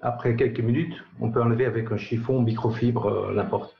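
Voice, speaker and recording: A man from Belgium with a slight Belgian accent, a radio guest answering in a hesitant, halting way over a remote line. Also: medium pitch, fairly even intonation, creaky. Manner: hesitant, halting, many pauses. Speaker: guest, company sales director. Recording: dull roomy remote line.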